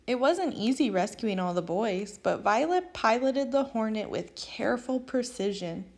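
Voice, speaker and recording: A woman reading a children's picture book aloud as an expressive storyteller. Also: medium pitch, creaky. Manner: expressive, animated storytelling with acted character lines. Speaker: storybook reader.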